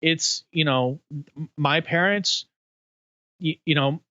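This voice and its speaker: Man talking haltingly on a dull, dry recording. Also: on the high side, sharp tone, no room sound. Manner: halting and searching, many restarts and fillers. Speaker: podcast host. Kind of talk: candid podcast conversation.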